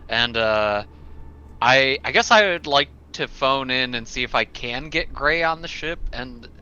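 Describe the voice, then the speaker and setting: A man's voice: mid-range voice, animated, unhurried, sharp tone, clean tone. A man playing a tabletop game, thinking aloud hesitantly in casual talk. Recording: dull lo-fi mic, faint noise.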